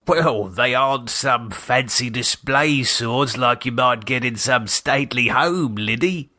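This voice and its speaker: A man's voice: mid-range voice, unhurried, pushed and bright. A man voicing a fond, playful father in an audiobook, explaining things with hesitant affection and a touch of comic menace. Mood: fond, proud. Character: fond playful English father, gleefully wicked about swords.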